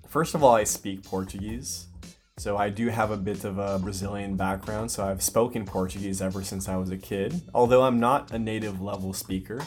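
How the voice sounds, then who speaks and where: A man from the United States hosting a casual language-learning podcast, explaining things patiently with clear examples. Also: lowish voice, darkish tone. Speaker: podcast host. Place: lives in Brazil.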